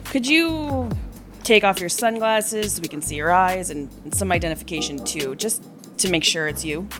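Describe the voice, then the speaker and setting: A woman's voice: on the low side, lively intonation, normal speed, music in the background, close to an everyday voice. An actress playing a cautious, matter-of-fact female police detective in an audio drama. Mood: firm, polite. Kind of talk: naturalistic audio drama dialogue.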